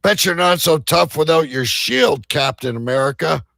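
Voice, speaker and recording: A man's voice: on the high side, normal speed, vocal fry, a bit bright, no echo, studio quality, voice pushed up. A man playing a brash, taunting office bully in an amateur live audio drama. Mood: cocky.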